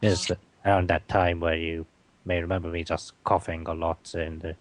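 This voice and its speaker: A man telling a story in a slow, matter-of-fact way during a casual group chat. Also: low voice, little pitch movement.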